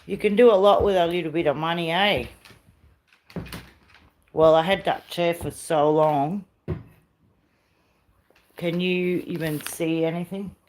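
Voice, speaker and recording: Middle-aged woman from south america streaming from her rental on her phone, slow easy chat, sweet-talks her dog. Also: on the low side, fairly even intonation, a little mellow, slight background noise. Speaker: live streamer. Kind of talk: casual home live stream tour. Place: rented house, indoors.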